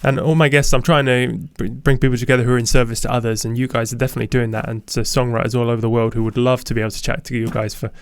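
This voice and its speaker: Smooth-voiced, easygoing male podcast host. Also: medium pitch, flat delivery, very fast, hardly any pauses, dry recording. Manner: friendly, easygoing, thanking guests. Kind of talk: casual interview chat.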